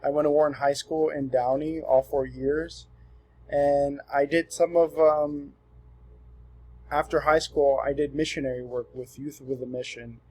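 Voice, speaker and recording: A man in his twenties from Southern California, giving a relaxed, earnest self-introduction for a class assignment. He often pauses with ums and restarts his sentences. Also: on the high side, rough recording. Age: 20s. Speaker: student presenting himself.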